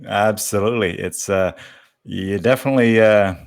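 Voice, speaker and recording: A man hosting a casual live interview, speaking in an informal, halting way. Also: lowish voice, vocal fry, smooth, no room sound, clean studio sound. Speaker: talk show host.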